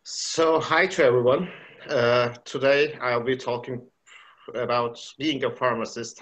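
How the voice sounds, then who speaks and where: A man's voice: medium pitch, fairly bright tone, dull audio. Hesitant man lecturing over video call. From Turkey. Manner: hesitant, many uh fillers and restarts. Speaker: pharmacy professor giving a webinar talk. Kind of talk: presentation lecture.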